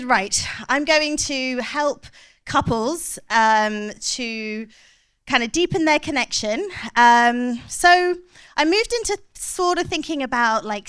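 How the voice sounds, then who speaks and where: A woman's voice: sits high, a bit bright, clear, resonant tone. A woman, a clinical psychologist giving a chatty, self-mocking short talk to an audience.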